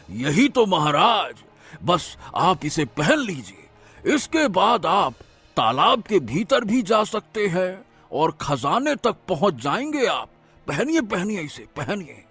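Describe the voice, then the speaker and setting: Gravelly, high-pitched sly sorcerer. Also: male voice, sing-song, a bit bright, faint music behind, heavily acted. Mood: sly, coaxing. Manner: wheedling, drawn-out, chuckling delivery. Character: sly sinister tantric sorcerer flattering a king. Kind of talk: cartoon story voice acting.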